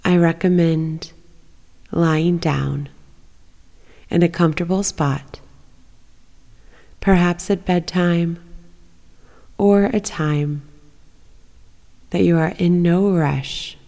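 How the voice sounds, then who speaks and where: A woman leading a guided bedtime meditation, speaking in a soft, soothing, unhurried manner with long pauses. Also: fairly low pitch, fairly even intonation, creaky. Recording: long reverberant echo.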